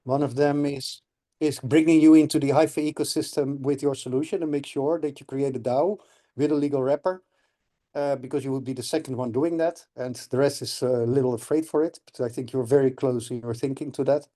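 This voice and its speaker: A man's voice: medium pitch, steady tone, brisk, mellow. A middle-aged man from the Netherlands, a guest explaining things on a video call and often checking in with "correct?". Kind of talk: casual call conversation.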